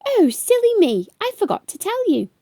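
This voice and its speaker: A woman voicing a kindly, reassuring father in a children's storybook, explaining things gently and patiently. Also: very high voice, huge pitch swings, moderate pace, dry recording, clean studio sound, pitched above the performer's own voice, full character voice. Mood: gentle, caring.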